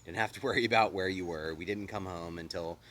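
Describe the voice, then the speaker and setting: Deep-voiced relaxed man in his thirties. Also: fast, a little hiss or hum. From Austin, Texas. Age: 30s. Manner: relaxed, rambling, says "like" and "you know" a lot. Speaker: interviewee. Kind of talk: casual conversational storytelling.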